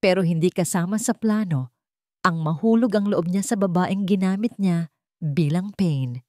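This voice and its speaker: Low-pitched woman narrating a drama. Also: moderate pace, dark tone, dry recording, clean studio sound. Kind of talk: scripted dramatic story narration.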